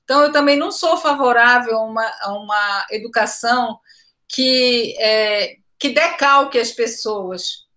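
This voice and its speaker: High, bright-voiced woman professor from Pará. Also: unhurried. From Pará, Brazil. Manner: reflective, explanatory, repeats words while searching. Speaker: education professor, guest. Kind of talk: academic interview answers. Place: home room indoors. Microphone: laptop webcam on video call.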